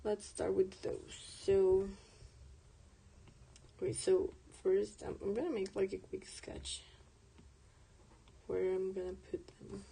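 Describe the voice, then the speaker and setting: A woman's voice: on the low side, warm tone, husky, low-quality recording. A young woman whose first language is Spanish, chatting casually while she draws on stream, rambling and correcting herself as she goes. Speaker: artist streaming a drawing session. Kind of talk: casual chat while drawing.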